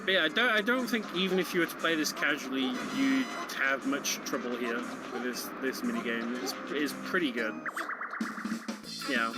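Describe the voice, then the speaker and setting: A man's voice: medium pitch, moderate pace. A man giving casual live commentary on a video game, explaining things in a hesitant, chuckly way. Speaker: speedrun commentator. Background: game music behind the voice.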